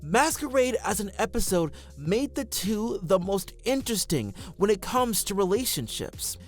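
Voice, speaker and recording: A man reading scripted fan commentary as a voice-over for a video essay, close on the microphone. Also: sits high.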